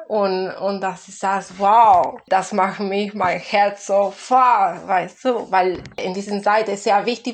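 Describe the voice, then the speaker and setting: Interviewee: heartfelt woman from Argentina, mid-range voice, native Spanish speaker with an Argentine accent, personal non-native phrasing. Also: medium pitch. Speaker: activist interviewee.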